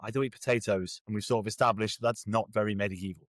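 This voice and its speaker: A man's voice: fairly low pitch, steady tone, quick pace, no room sound, studio quality. A man with a British accent, speaking as a guest author in a casual interview, jokey, self-deprecating and rambling a little.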